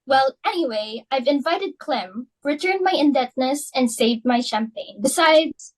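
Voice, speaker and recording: A woman's voice: sits high, lively intonation, unhurried, low-quality recording. A young woman in an amateur dramatic reading, playing a fussy, status-hungry society hostess and mother in a flustered, theatrical way. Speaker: student actor.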